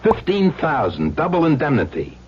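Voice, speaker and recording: A man's voice: fairly high pitch, wildly swooping pitch, normal speed, a little mellow. An actor playing a crude, taunting blackmailer husband with slangy tough-guy talk, in old-time radio drama style. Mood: taunting, threatening. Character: crude blackmailing husband back from presumed death, slangy and menacing. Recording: dull lo-fi radio recording, faint hum.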